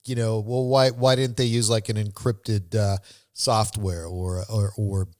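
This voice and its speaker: A man hosting a podcast in a casual, conversational way, with a halting, rambling delivery. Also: fairly low pitch, little pitch movement, a little mellow, no echo, clean studio sound. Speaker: podcast host.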